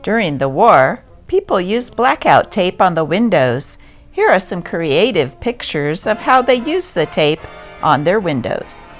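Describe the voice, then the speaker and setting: Woman narrating old newspaper articles, lowish voice, very animated pitch, music under it. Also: measured pace. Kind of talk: scripted narration, reading news aloud.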